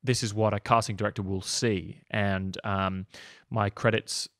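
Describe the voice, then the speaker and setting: Man, 30s, casual coach read, lowish voice, dry close sound, loose with filler words. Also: flat delivery, a little slow, fairly bright tone, dry recording. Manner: casual and upbeat, many ums. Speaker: acting coach. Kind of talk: online tutorial, screen walkthrough.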